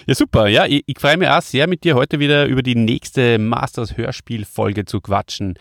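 A man's voice: medium pitch, normal speed, creaky, no room sound, studio quality. A man hosting a podcast, presenting in a casual, conversational way and addressing his listeners. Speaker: podcast host.

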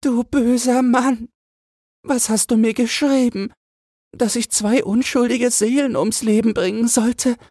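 An old queen mother acted out by a man speaks in a raised, husky voice. She is reproachful but merciful, a figure in a fairy tale read aloud. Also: very high voice, fairly even intonation, a little slow, darkish tone, dry recording, studio quality, pitched above the performer's own voice. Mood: tearful, reproachful.

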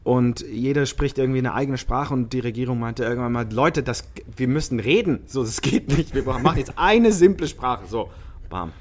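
A man from Switzerland, a musician chatting as a podcast guest, lively and talkative with many fillers. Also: medium pitch, normal speed. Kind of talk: casual chat.

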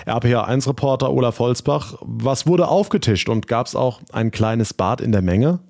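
A man's voice: medium pitch, lively intonation, moderate pace, vocal fry, a little mellow. A man hosting a regional news podcast, presenting stories and chatting with reporters in a friendly, conversational way.

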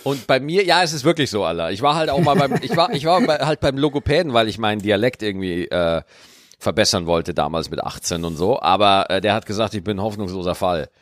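A young man in his twenties with a lively, swooping voice. He trades playful, teasing banter in casual chat, recorded dry and close. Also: fairly low pitch, fast, no room sound.

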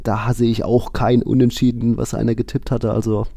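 A man's voice: medium pitch, little pitch movement, moderate pace, vocal fry, mellow, no room sound, studio quality. A man co-hosting a casual football podcast, explaining things in long, colloquial, conversational runs. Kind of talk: casual football analysis chat.

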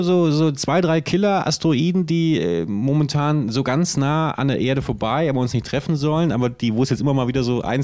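A man's voice: medium pitch, darkish tone. A man co-hosting a casual podcast, musing aloud and asking questions in colloquial language. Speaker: podcast co-host.

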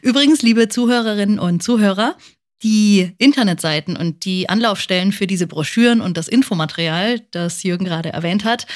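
A woman hosting a podcast, talking to her listeners and guest. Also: fairly low pitch. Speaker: podcast host.

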